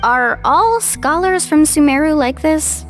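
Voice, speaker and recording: A woman's voice: high voice, expressive, a little slow, soft background music. A woman voicing an upbeat, confident young female surfer guide who encourages her group in an anime video game. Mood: eager. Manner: encouraging, exclamatory, leads the group.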